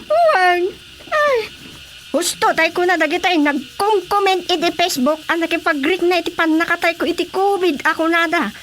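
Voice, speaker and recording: A woman playing a quarrelsome, insistent comic ghost creditor in a Filipino radio comedy, with a shrill, whiny delivery. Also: lively intonation, normal speed, a bit bright, slight background noise. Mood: amused, gossipy.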